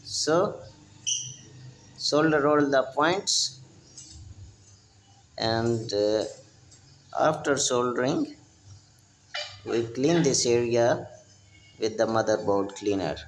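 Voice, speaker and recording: Male repair instructor, slow and piecemeal delivery, roomy noisy recording. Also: mid-range voice, lively intonation. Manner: halting, fragmented phrases with repeated pointers. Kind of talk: unscripted hands-on repair demonstration.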